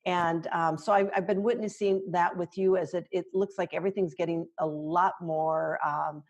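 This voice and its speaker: Woman interviewer, somewhat low-pitched, warm admiration, halting and searching. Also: fairly even intonation, lo-fi sound. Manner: admiring, hesitant, many fillers and restarts. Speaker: interviewer, host. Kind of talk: candid interview conversation.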